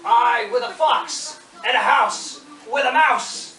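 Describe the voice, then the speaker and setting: A stubborn puppet grumbler acted out by a performer refuses in slow, singsong rhyme. Each phrase is drawn out and emphatic, in storybook style. The sound is echoey and picked up from some way off. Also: high voice, sharp tone, faint music behind, heavily acted. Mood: stubborn, grumbling. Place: puppet show in an echoey room. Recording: echoey room, distant microphone.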